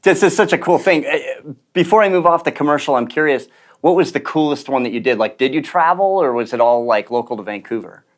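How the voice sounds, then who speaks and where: A man hosting an interview show, asking rambling questions and dropping quick quips. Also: fairly high pitch, expressive. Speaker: show host. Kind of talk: casual interview chat.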